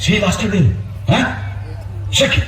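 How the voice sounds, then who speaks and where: An actor playing a boisterous bandit chief in an amateur stage dance drama, with a swooping, declamatory delivery. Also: male voice, high voice, normal speed, distant mic, close to an everyday voice. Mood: commanding. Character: boisterous bandit chief, adult male, blustering. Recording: echoey hall, faint crowd and music.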